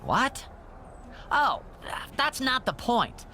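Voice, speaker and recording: A snippy young backstage producer in a wrestling game. His voice is high and bright, with a lively swing in pitch, smug yet easily thrown off. Noise runs faintly behind him. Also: drawn-out words, exaggerated voice. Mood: flustered. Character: snide, easily flustered young male wrestling show producer. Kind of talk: video game cutscene voice acting.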